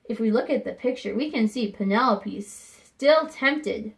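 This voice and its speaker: A woman teacher reading a picture book aloud to young students, expressive and pausing to think aloud with questions for them. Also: on the high side, creaky. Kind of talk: read-aloud lesson with questions to children.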